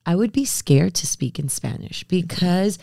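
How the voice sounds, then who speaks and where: A woman's voice: on the low side, animated, moderate pace, creaky, mellow, dry recording, clean studio sound. A young woman from Miami co-hosting a casual chat, speaking candidly and slipping between English and Spanish. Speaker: podcast co-host.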